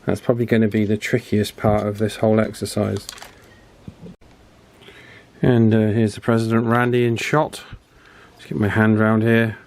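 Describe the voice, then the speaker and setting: Low-voiced man, chatting through a repair. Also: flat delivery, moderate pace, pauses often, a little mellow, a little hiss or hum. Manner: chatty, unscripted, tag questions. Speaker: host of an electronics repair channel. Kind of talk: casual hands-on repair commentary, talking while working.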